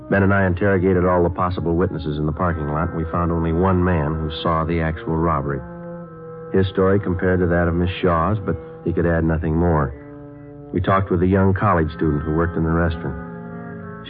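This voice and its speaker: A man plays a terse American police detective from vintage radio drama, low in pitch and brisk. His delivery is clipped, polite and deadpan. The sound is narrow and lo-fi, with music behind. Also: close to an everyday voice. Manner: deadpan, matter-of-fact, polite. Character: terse, businesslike male police detective narrating his case.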